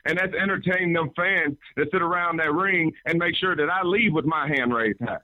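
Young guy, earnest wrestling promo, stumbles on words, phone-line tinny, bit high. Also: moderate pace, no echo. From Memphis, Tennessee. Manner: earnest, stammering, restarts words. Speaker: professional wrestler. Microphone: phone call.

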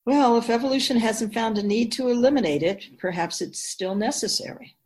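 A woman playing an ageless, wise spirit guide in a radio drama, explaining in a measured, emphatic, instructive way with deliberate pauses. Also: a little high, a little mellow, natural voice. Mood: assured, explanatory. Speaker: teacher figure in a radio drama.

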